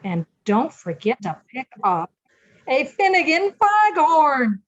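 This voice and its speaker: A woman's voice: high voice, sing-song, slow, no room sound, lo-fi sound. An older woman announcing a radio play in scripted, old-time serial narration style.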